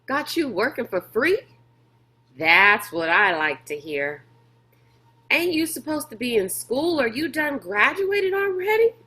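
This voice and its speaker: A woman's voice: high voice, sing-song, measured pace, sharp tone, pitched above the performer's own voice, full character voice. A large, pushy man from a storybook, as acted out by a woman reader. He hollers in a folksy drawl and likes to boss people around. The delivery takes its time. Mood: boisterous, teasing. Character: large brash bossy man, folksy schemer.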